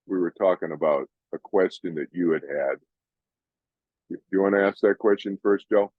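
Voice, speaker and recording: An older man from Massachusetts hosting an audience Q&A over a video call, chatty and animated, often restarting his sentences. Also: low voice, normal speed, no room sound, phone-quality sound. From Massachusetts, USA. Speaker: host answering audience questions.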